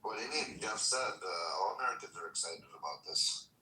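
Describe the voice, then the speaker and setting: A man's voice: lowish voice, lively intonation, brisk, bright, forceful tone, a little hiss or hum. A man co-hosting a casual political chat. He questions and chides the others. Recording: echoey room, far from mic.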